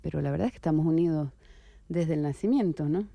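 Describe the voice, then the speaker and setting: A woman writer from Venezuela answering interview questions in a reflective, unhurried way, with soft hesitations and tag questions. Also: low-pitched, vocal fry, no room sound.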